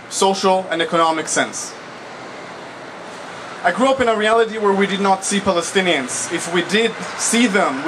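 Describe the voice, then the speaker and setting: A man in his twenties from Israel giving a public speech as a guest speaker, deliberate and articulate, phrase by phrase. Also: sits high, a bit bright. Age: 20s. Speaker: guest speaker, political activist. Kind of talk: public speech, personal testimony. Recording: noisy live event recording.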